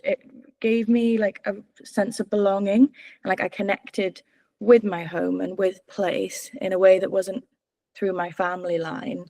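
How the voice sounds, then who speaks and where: A woman's voice: fairly low pitch, creaky, mellow, dry recording. A woman in her twenties from Wales, giving candid, hesitant interview answers full of fillers and restarts. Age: 20s. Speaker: political candidate.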